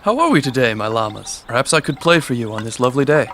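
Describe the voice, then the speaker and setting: A man playing a gentle, humble young shepherd in an audio storybook drama, speaking politely and earnestly. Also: medium pitch, sing-song, quick pace, faint music behind. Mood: gentle, awed.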